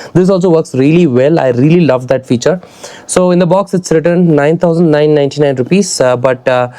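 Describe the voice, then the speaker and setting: A man's voice: a little high, warm tone, no room sound. A man with an Indian accent giving a casual, conversational product review, addressing his viewers directly as a vlogger. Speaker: motorcycle gear reviewer and vlogger.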